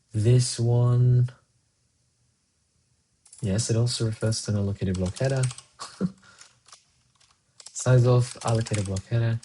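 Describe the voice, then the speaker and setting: Man, Spanish speaker, casual live coding, thinking out loud, faint keyboard typing behind. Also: on the low side, a little hiss or hum. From Colombia. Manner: muses aloud, answers chat between tasks. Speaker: programmer streaming live coding.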